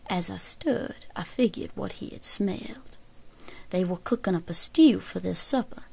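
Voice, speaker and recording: A woman playing a grim elderly frontier woman with a Southern American accent, giving a deliberate, folksy first-person account in an audio drama. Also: medium pitch, creaky, darkish tone, slightly rough, dry recording. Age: older.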